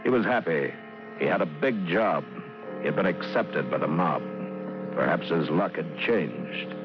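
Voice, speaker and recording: A man narrates a vintage radio play in a low, measured voice. The recording is muffled, with loud music behind his dramatic delivery. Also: expressive.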